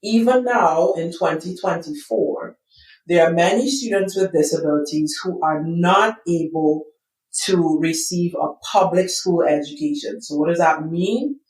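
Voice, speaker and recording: A middle-aged woman from Trinidad and Tobago with a Trinidadian accent, answering interview questions in an earnest, measured way and repeating words as she thinks. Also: fairly low pitch, expressive, darkish tone. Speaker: guest, school psychologist and business founder. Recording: roomy sound, distant from the mic.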